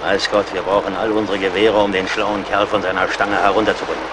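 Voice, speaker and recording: An actor in a German radio play as a seasoned, relentless frontier scout, sardonic, terse and loyal. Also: male voice, low-pitched, level tone, fast talker, natural voice. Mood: commanding, combative. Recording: clear battle and outdoor noise behind.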